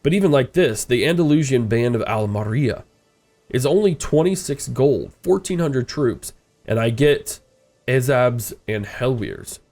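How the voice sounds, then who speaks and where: A man's voice: medium pitch, animated, unhurried, darkish tone, faint music behind. A congested man with a stuffed-up nose, casually commenting as he plays a strategy game and thinking aloud. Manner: rambling, thinking aloud, frequent uh fillers. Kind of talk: casual let's-play commentary.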